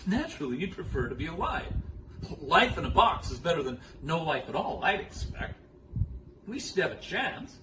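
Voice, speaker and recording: Swooping, echoey, rambling ponderer. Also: male voice, on the high side, very animated. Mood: nervously amused. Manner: musing, halting, self-correcting, abrupt swoops in emphasis. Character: rambling, absurdly philosophical man musing on death. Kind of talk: comic stage monologue. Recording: echoey room, distant mic, noisy background.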